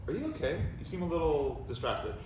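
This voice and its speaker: Guy reading as a stressed but upbeat needy boyfriend, very lively pitch, roomy distant mic. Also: brisk. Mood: anxious. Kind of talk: table read of a comedy play. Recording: echoey room, distant mic, faint noise.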